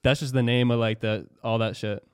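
A man in his twenties with a Florida accent hosts a casual podcast chat, relaxed and talkative and free with a curse word. His voice is smooth and clean. It sits close and dry on the mic. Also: medium pitch, brisk, no room sound. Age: 20s. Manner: laid-back, chatty, swears casually. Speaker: podcast host.

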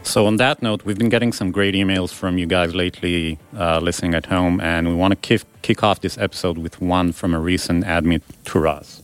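A man's voice: deep voice, fairly even intonation, brisk, few pauses, clear, resonant tone, faint music behind. A man hosting a business school podcast, welcoming listeners and introducing a panel. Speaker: podcast host.

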